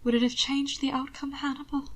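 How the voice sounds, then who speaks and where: A woman's voice: high voice, level tone, fairly quick, pitched above the performer's own voice, close to an everyday voice. A woman voicing a whispery, ashamed young man. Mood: hesitant, ashamed. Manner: breathy whispering. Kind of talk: audiobook reading.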